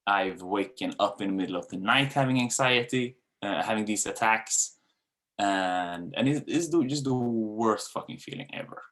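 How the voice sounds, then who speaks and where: A man's voice: lowish voice, moderate pace, fairly bright tone. A man in his thirties from Sweden with a Swedish accent, a dance coach giving a candid webinar talk with casual fillers and swearing. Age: 30s.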